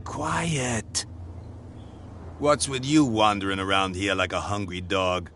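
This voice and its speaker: A jumpy bootlegger, lowish voice but sharp and edgy. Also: male voice, steady tone, takes it slowly, slight background noise. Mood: gruff. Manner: blunt and jittery. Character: jumpy male bootlegger, nervous but rude. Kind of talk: video game voice acting.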